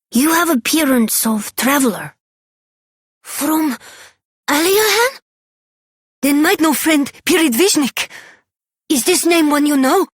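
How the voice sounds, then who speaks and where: Game child role: earnest little boy, high voice, unhurried broken English. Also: dry recording, clean studio sound.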